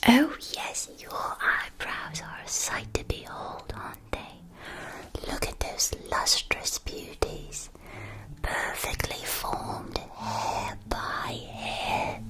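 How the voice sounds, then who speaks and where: Female ASMR performer, doting witch shopkeeper role, fully whispered, unhurried storybook delivery. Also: bright, forceful tone. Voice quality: breathy, unvoiced whisper. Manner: slow, careful, storybook phrasing. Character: gentle, doting witch-school shopkeeper woman selling spellbooks.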